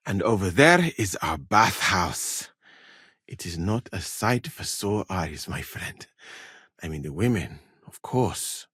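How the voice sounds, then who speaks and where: Learned, husky-voiced scholar-physician. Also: male voice, low voice, very animated, fairly bright tone, no echo, studio quality, full character voice. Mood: wistful, disapproving. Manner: measured, formal, eloquent phrasing. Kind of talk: video game voice acting.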